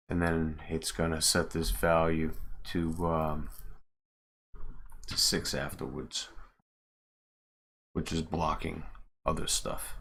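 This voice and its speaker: A man's voice: a bass voice, vocal fry, fairly bright tone, slight background noise. A man casually muttering through his steps as he thinks aloud during a live screen-share tutorial. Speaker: streamer, game modder. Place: home, at a computer.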